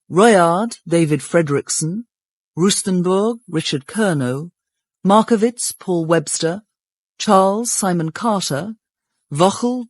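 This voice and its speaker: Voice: medium pitch, no echo. An announcer reading out radio drama cast credits in a scripted broadcast style.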